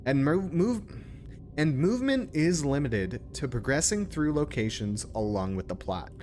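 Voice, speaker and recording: A man's voice: a little high, big pitch swings, normal speed, darkish tone, a little hiss or hum. A man giving casual live commentary as a game streamer, informal and joking, sometimes reading on-screen text aloud.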